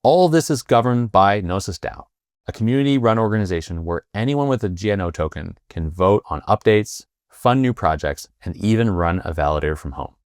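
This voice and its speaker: A man hosting a podcast interview, asking questions in a halting way with many fillers and restarts. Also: on the low side, lively intonation, darkish tone, no room sound, studio quality. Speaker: podcast host. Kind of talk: casual interview with read ad intro.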